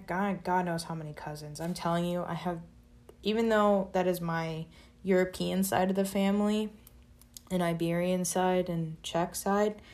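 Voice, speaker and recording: Brief: young woman podcaster, low and level delivery, reflective spiritual musing. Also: a little mellow. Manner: searching for words, spiritual musing. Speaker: podcast host. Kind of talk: reflective solo podcast monologue.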